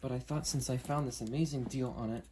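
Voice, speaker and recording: A man's voice: mid-range voice, steady tone, fast, very noisy. A man hosting an unboxing video, talking casually and hesitantly as he works through a product demo. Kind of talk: casual unscripted product demo with friends on a call.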